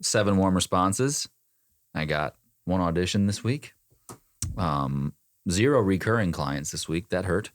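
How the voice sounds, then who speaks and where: A man's voice: deep voice, animated, a little slow. A young man co-hosting a casual podcast, talking in a joking, teasing way about his week's work. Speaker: voice-over talent, podcast co-host. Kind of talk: casual chat.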